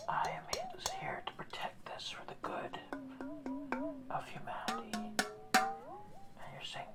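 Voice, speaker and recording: A performer voicing a wise male orca guardian of Atlantis in a slow, soft ASMR whisper, quizzing the listener, a little distant from the mic. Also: sits high, exaggerated voice. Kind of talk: ASMR roleplay.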